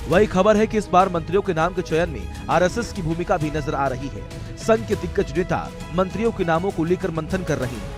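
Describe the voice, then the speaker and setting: A man's voice: fairly high pitch, animated, a little fast, hardly any pauses. A man reading a scripted news report as a voice-over. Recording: music bed under voice.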